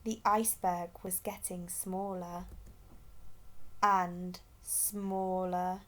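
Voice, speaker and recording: A woman's voice: fairly low pitch, flat delivery, very slow, fairly bright tone. A woman reading a children's storybook aloud expressively as a storyteller.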